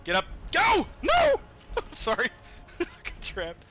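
Excitable, foul-mouthed man with lively pitch swings. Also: very slow, shouting, background noise. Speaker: gamer playing and commentating. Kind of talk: casual let's-play commentary.

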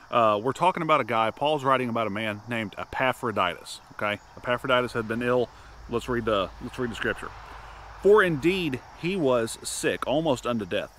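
A man's voice: mid-range voice, a bit bright, slight background noise. Folksy guy in his 30s doing a morning sermon out in a field, says howdy and y'all, crickets going. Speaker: homestead video preacher. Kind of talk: casual sermon to camera. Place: outdoors in a grassy field at dawn. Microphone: camera at chest height, talking straight to it.

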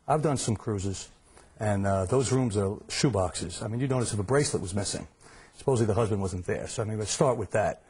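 A man's voice: on the low side, brisk, darkish tone, breathy edge. A middle-aged man giving investigative commentary as a guest in a live television news studio.

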